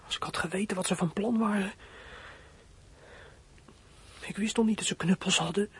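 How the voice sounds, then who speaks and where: A high-pitched, very husky boy's voice, from a radio play. He is a frightened young runaway, anxious and scheming. He thinks aloud in halting, breathy phrases. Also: steady tone. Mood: hushed, uneasy. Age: teens. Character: frightened, scheming fourteen-year-old runaway boy. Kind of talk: radio play acting, monologue.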